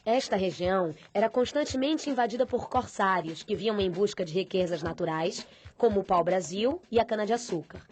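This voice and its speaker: A woman delivers scripted documentary narration in a measured, formal manner. Her voice sits at an ordinary middle pitch and stays composed. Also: animated, darkish tone.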